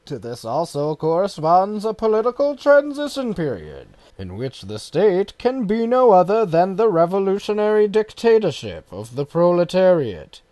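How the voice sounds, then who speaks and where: A man reading formal written passages for an audiobook in an expressive way. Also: high voice, normal speed, hardly any pauses, dry recording. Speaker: audiobook reader of quoted passages. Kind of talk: scripted narration, reading quotations.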